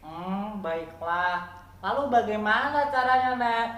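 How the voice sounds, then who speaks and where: A woman voicing a stubborn young boy in a fairy tale, with expressive, childlike delivery. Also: medium pitch, takes it slowly. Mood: doubtful. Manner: expressive, childlike read-out dialogue. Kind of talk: dramatized fairy-tale reading. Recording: roomy, echoing, slightly noisy recording.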